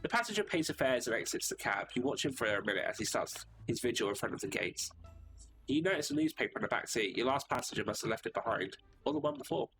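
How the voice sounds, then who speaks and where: A man's voice: mid-range voice, big pitch swings, quick pace, rough recording. A man streaming a narrative game live, reading the narration aloud with casual asides of his own. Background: game music.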